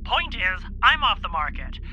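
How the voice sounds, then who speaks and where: A man's voice: high voice, very animated, moderate pace, muffled recording. A man playing a nerdy, self-important young shop clerk in an audio drama, snarky and petulant. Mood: scornful, smug.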